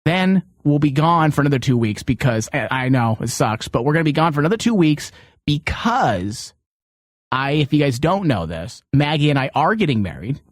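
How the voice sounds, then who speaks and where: A man's voice: a little high, big pitch swings, moderate pace, dry recording. Casual male podcast host, dry close sound. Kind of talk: casual, unscripted show chat.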